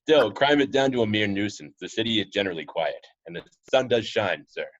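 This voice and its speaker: A man playing a loyal, courteous butler with a polite, wry, measured manner in a superhero script read. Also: fairly low pitch, lively intonation, close to an everyday voice. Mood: reassuring, concerned. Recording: dull lo-fi home recording.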